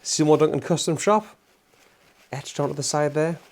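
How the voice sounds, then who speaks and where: A man's voice: on the high side, normal speed, darkish tone, slight background noise. Chatty man with a worn-out voice. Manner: enthusiastic, chatty, laughs often. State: losing his voice. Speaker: guitar channel host. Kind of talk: casual demo talk to camera.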